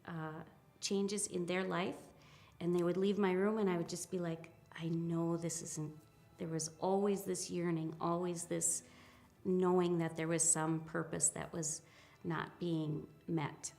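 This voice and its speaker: A woman in her fifties giving a reflective personal account, speaking hesitantly with soft pauses and restarts. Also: lowish voice, little pitch movement, gravelly. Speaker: interviewee, spiritual practitioner.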